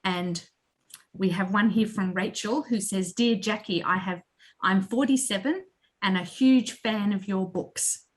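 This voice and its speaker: A woman's voice: medium pitch, a little slow. A woman hosting an online library session in an informal, conversational style. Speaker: library host and moderator.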